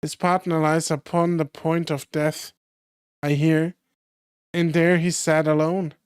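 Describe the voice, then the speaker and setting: Cheerful, teasing husband, read by a hoarse storyteller; slow, dry. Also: mid-range voice, flat delivery, no room sound, lightly acted. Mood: playful. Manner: smiling, playful. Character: cheerful middle-aged husband teasing his wife.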